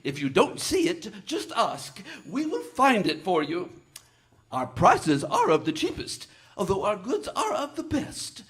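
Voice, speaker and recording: A jolly, generous old shopkeeper for a live radio play, proclaiming heartily at an unhurried pace. The pitch is extremely high for a man and leaps all over, with music behind. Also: huge pitch swings, fairly bright tone, full character voice. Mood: jovial sales pitch. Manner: hearty chuckling, proclaiming salesman patter.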